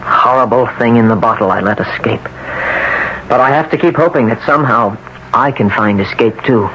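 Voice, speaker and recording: Muffled vintage radio drama, faint noise, male actor as intense young man, haunted, desperate, deliberate, mid-pitched voice. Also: lightly acted. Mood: desperate, grave. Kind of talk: 1970s radio drama acting. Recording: dull, noisy old radio recording.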